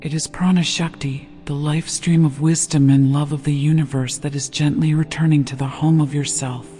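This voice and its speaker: A gentle, hushed voice guiding a sleep meditation with slow, deliberate scripted narration. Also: medium pitch, fairly even intonation, husky. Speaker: meditation guide. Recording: long reverb over background music. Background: meditation music.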